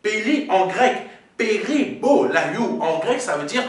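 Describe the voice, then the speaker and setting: High, lively preaching man, echoing. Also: slow. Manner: preaching, punctuating with "Amen"; teaching, explaining Greek words. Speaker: pastor. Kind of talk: sermon. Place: church sanctuary. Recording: very echoey hall, mic far off.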